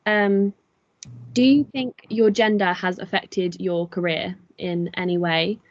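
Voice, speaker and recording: A woman's voice: mid-range voice, steady tone, takes it slowly, dry recording, dull audio. Hesitant young woman moderating a panel. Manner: polite, hesitant, many fillers. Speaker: student facilitator.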